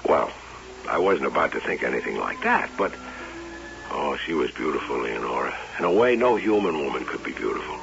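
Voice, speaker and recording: An actor playing an obsessive, excitable carnival showman in an old-time radio drama, by turns musing and defensive. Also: very deep voice, a little fast, gravelly, full character voice. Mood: musing, rueful. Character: obsessive carnival showman and inventor, smitten with his wax doll. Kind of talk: old-time radio drama acting with first-person narration. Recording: muffled, hissy old radio recording.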